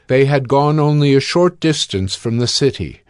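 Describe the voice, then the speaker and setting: A man's voice: medium pitch, expressive, normal speed, clean tone, no echo, studio quality. Male narrator reading scripture.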